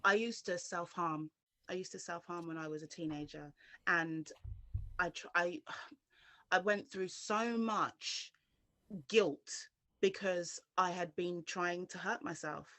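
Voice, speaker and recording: A woman's voice: on the low side, slow pace, fairly bright tone, no echo. A woman from London giving her personal testimony as an interview guest, speaking earnestly and directly to the viewer.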